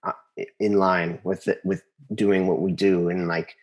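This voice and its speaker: Low-pitched American man, halting and thoughtful. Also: flat delivery. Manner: halting, thinks aloud, repeats phrases for emphasis. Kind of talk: casual interview conversation.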